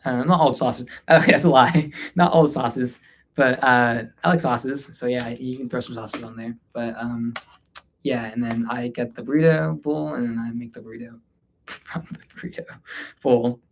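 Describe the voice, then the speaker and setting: A man's voice: medium pitch, steady tone, moderate pace, slight background noise. Tired, casual young-sounding male livestreamer. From North India, Delhi area. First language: Hindi, mixed with English. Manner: informal, hesitant, trailing off. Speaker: livestream host answering viewer questions. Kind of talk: casual unscripted Q&A livestream chat.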